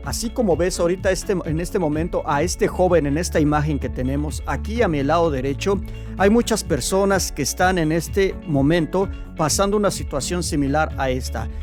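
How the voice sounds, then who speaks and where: A man's voice: on the high side, lively intonation, moderate pace, a little mellow. Preaching man with music behind. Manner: exhorting, addresses listener directly. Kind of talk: preaching introduction and interview.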